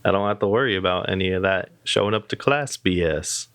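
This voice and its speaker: A man's voice: sits low, fairly quick, a bit bright, no echo. A young man, a student, co-hosting a podcast in easygoing casual chat. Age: young adult. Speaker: podcast co-host.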